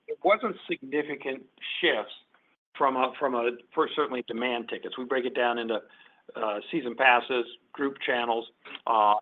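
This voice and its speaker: Businesslike male executive on a muffled conference call. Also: medium pitch. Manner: businesslike, halting restarts with repeated words. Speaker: company executive. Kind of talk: unscripted answers on an earnings call. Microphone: conference call line. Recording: muffled, band-limited conference call audio.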